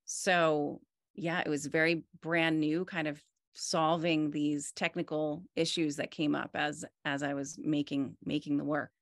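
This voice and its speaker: A woman in her forties with a low, gravelly voice, talking about her work over a video call. She speaks in a relaxed, reflective way, full of restarts and fillers. The sound is dry and close, with no room echo. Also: measured pace. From United States. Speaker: artist. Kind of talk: conversational interview. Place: home room with wood-panelled wall.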